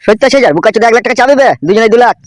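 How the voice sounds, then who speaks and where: গ্রামবাংলার টানে একজন পুরুষ কার্টুনে এক লোভী, মুখখারাপ, টিটকিরি-মারা ছোকরা চ্যালার গলা দিচ্ছে।. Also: male voice, extremely high pitch, racing, no echo, studio quality. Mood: greedy. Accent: rural West Bengali. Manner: jeering, swaggering. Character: mocking, greedy young henchman, crude prankster. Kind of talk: amateur comedy cartoon dub.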